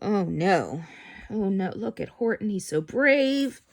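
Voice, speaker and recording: A woman's voice: medium pitch, sing-song, takes it slowly, creaky. A woman reading a children's picture book aloud expressively and chatting to young listeners about it. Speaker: storyteller.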